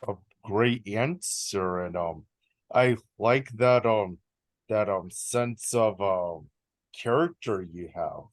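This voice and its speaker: Young male interviewer, slow and hesitant, dry close recording. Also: fairly low pitch, no room sound. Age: young adult. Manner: hesitant, many ums. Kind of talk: reading numbered interview questions.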